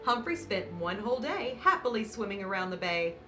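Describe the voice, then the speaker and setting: A woman reading a picture book aloud to children as host, with dramatic emphasis and warm, encouraging asides. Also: medium pitch, sing-song, a little fast. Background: background music.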